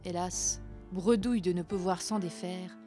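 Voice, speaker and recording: A woman's voice: lowish voice, fairly even intonation, a little slow, mellow. Woman narrating folk legends over music. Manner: theatrical, literary storyteller delivery. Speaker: host and storyteller.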